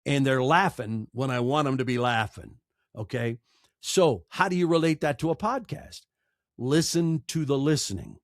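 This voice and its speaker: A man's voice: mid-range voice, expressive, moderate pace, dry recording, studio quality. A man speaking as a podcast guest in a conversational, storytelling way, emphatic, with rhetorical questions and repeated phrases. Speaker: podcast guest, broadcaster and audiobook narrator.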